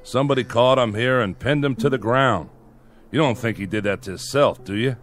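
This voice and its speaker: A man's voice: mid-range voice, fairly quick, a little hiss or hum, natural voice. An actor in a radio drama playing a skeptical, plain-spoken frontier man with a terse, folksy way of talking. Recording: music bed under the dialogue.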